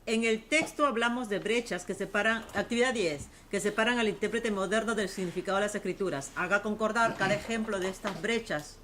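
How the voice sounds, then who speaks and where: A woman's voice: medium pitch, fairly quick, few pauses, a bit bright, a little hiss or hum. A woman student in a Bible class, reading exercises aloud steadily and giving short answers.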